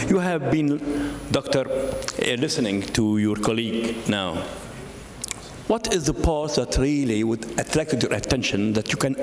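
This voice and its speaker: A man moderating a conference panel, brisk and formal in his introductions. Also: mid-range voice, slight background noise. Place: large conference hall. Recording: echoey hall with long reverb.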